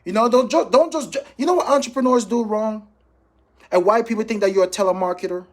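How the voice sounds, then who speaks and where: A man's voice: high voice, quick pace. A man from Jamaica giving an informal motivational training talk as a sales coach, emphatic, repeating key phrases and checking in with his listeners. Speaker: financial professional coaching sales calls. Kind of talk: informal motivational training talk with role-played phone examples.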